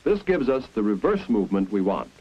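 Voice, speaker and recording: A man's voice: lowish voice, very animated. Dull vintage film soundtrack, American male narrator. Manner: clear, deliberate, explanatory. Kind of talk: 1950s instructional film narration, scripted. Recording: dull, band-limited 1950s film soundtrack.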